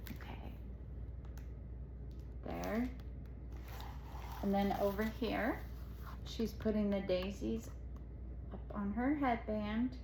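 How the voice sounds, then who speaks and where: A woman's voice: mid-range voice, takes it slowly, gravelly, pauses often, dark tone, slight background noise, low-quality recording. A woman reading a storybook aloud to children, expressively, with explanatory asides and questions to the listeners.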